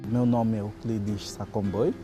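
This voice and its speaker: A man's voice: fairly low pitch, little pitch movement, a little slow, over music. Man in his thirties. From Angola. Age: 30s. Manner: plain self-introduction. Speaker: university lecturer.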